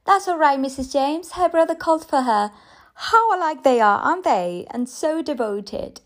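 Woman voicing a young schoolteacher, very high, bright, chatty, storybook voice. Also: animated, normal speed.

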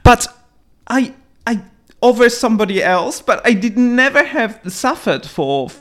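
A man's voice: extremely high pitch, big pitch swings, unhurried. Man speaking English as a second language. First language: not English. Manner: emphatic, restarts often, laughs while talking. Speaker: podcast co-host. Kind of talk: casual discussion. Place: London.

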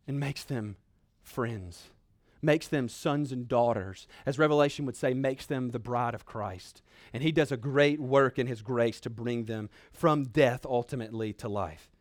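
A man preaching a sermon in an earnest, exhorting manner, emphatic, with dramatic pauses and repeated phrases. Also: medium pitch, dry recording, studio quality.

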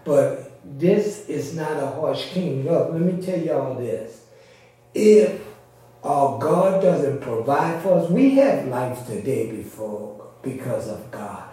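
A man gives an informal Sunday sermon in a halting, conversational style. His voice is mellow and slow. He is recorded from a distance in a strongly echoing space. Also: medium pitch, big pitch swings. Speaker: preacher. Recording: very echoey room, distant microphone.

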